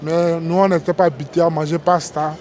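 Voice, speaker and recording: A man from Togo, interviewed outdoors, tells his story haltingly with many restarts and keeps asking "tu vois, non?". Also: a little high, little pitch movement, a little mellow. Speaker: interview guest, migrant worker. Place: outdoors on a busy street.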